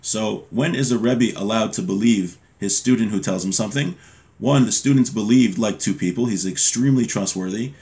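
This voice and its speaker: A man's voice: on the low side, normal speed, dark tone. A man in his thirties giving an informal lesson on religious law, reading from a text and explaining it step by step. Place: small home room with bookshelves, indoors.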